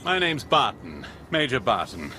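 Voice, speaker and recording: An actor playing a resolute, daring British army major in a wartime radio drama. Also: male voice, medium pitch, big pitch swings, moderate pace, sharp tone, a little hiss or hum. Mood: measured, grave.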